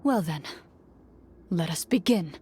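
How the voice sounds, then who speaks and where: A woman's voice: on the low side, animated, slow, mellow, a little hiss or hum. A woman voicing a composed, solemn game master who narrates formally and dramatically in an anime video game, over music. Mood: calm, formal. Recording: music behind the voice.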